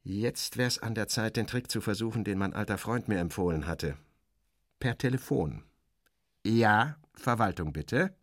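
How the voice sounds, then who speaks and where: Low-voiced man narrating literary fiction, dry sound. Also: moderate pace, no room sound. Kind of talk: literary first-person scripted narration.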